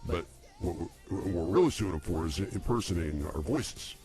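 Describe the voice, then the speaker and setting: A man with a deep voice co-hosts a comedy podcast. His pitch moves around a lot as he banters, haltingly and with plenty of repetition. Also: animated, a little mellow, soft background music. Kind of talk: improvised comic banter.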